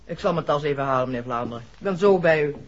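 A woman's voice: deep voice, expressive, rapid-fire, background noise. An actress playing a composed, authoritative female doctor in a 1960s radio drama. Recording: dull vintage radio drama recording.

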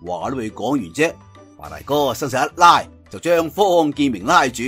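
A man's voice: medium pitch, expressive, normal speed, sharp tone, breathy edge, faint music behind. A man with a Cantonese accent telling a story in a dramatised traditional storytelling style.

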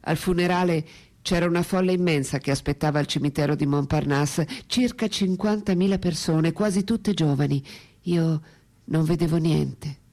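Gravelly, grave, tender companion. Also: female voice, deep voice, moderate pace, dark tone. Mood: restrained grief. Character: French woman writer, grave, tender lifelong companion of philosopher. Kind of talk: radio drama acting and intimate narration.

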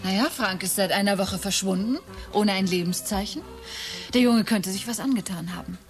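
Sardonic middle-aged wife played by an actress, medium pitch. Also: female voice, normal speed, soft background music, close to an everyday voice. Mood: needling. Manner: blunt, sardonic, complaining. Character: nagging, sceptical, needling middle-aged wife. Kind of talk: German radio drama acting.

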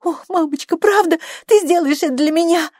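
A desperate young woman giving birth, shrill and wailing, way high, audiobook drama. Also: moderate pace, a little mellow, no room sound, studio quality. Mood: desperate hope. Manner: screaming, wailing, pleading. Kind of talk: audiobook character acting.

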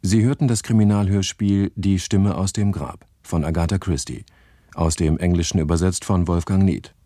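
Radio announcer guy reading credits, low dark voice, super dry studio sound. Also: steady tone, moderate pace, dry recording. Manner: formal, reading out a list.

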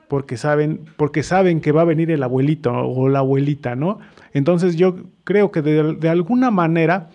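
A male radio host with a Mexican accent and a fairly high, very creaky voice. He talks reflectively and conversationally, circling back over words as he thinks aloud. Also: dark tone.

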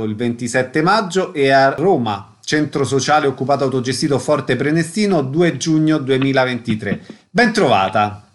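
A man hosting a radio programme, presenting items and interviewing a guest. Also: medium pitch. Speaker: radio host.

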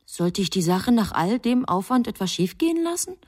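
A woman's voice: mid-range voice, big pitch swings, moderate pace, a little mellow, faint music behind. An actress playing a self-assured, scheming angel in a radio drama, telling her story in a composed, matter-of-fact way. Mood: absorbed storytelling. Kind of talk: German radio drama acting.

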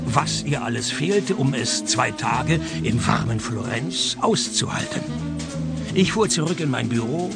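A man playing a deadpan, sarcastic, world-weary private detective in a radio crime comedy. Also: mid-range voice, gravelly. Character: dry, sarcastic, world-weary male private detective narrating his case. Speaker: lead character and narrator. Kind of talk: German-language radio comedy crime play narration.

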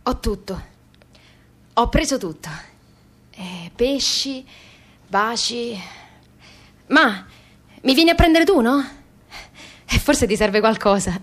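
A young daughter in a radio drama. Her voice is fairly high and highly animated in pitch. She comes across as persistent and curious. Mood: musing, tender. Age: young adult. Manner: insistent, repetitive questioning. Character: insistent, curious young daughter questioning her emigrant mother. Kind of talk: radio theatre dialogue and monologue.

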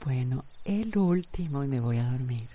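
A woman's voice: extremely low pitch, expressive, normal speed, noisy background. An actress playing Amanda, a hesitant, shaken woman, in a radio soap opera. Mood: coy, hesitant.

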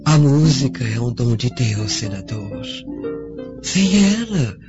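A woman playing a devout, gentle Roman servant in a radio drama, speaking in a reverent, measured way. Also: extremely low pitch, big pitch swings, full character voice. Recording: dull lo-fi audio with faint music.

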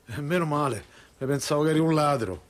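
A hot-headed young Roman guy played by an actor, fairly high in pitch. He speaks bluntly and sardonically in street slang, in a naturalistic radio play style. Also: fast talker, lightly acted. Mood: wry. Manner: colloquial, blunt. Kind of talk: naturalistic Italian radio drama acting.

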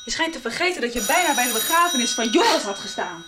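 A woman's voice: on the high side, animated, fast talker, very noisy, close to an everyday voice. A woman acting as a chatty, reproachful figure in a radio soap. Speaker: radio drama actress. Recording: echoey, distant mic, loud household clatter.

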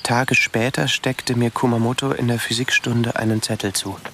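An actor playing a withdrawn, fearful young recluse in a radio drama, speaking hesitantly in short sentences. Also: male voice, mid-range voice, level tone, normal speed, a bit bright, lightly acted. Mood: subdued. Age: young adult. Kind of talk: German radio drama acting, narrating memories.

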